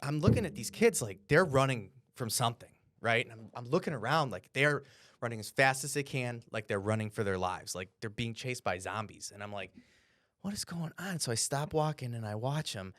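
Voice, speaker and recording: American male podcast co-host, mid-pitched, dry studio sound, loose and animated storyteller who swears freely. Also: fairly quick, no room sound. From Cleveland, Ohio, United States. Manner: animated, profane, bro-like slang. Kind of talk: casual storytelling.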